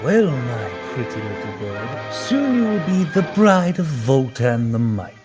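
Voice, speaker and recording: A man's voice: mid-range voice, very animated, measured pace. A teenage male student actor playing a lecherous, boastful hawkman king with pompous, theatrical delivery in a radio serial. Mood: leering. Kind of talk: old-time radio serial acting. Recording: music behind the voice.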